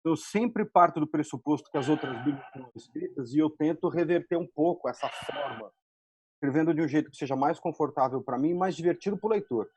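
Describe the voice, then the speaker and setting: A man's voice: a little high, brisk, a little mellow, breathy edge. A man with a Brazilian accent talking colloquially as a screenwriter on a panel. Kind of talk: casual, colloquial panel talk.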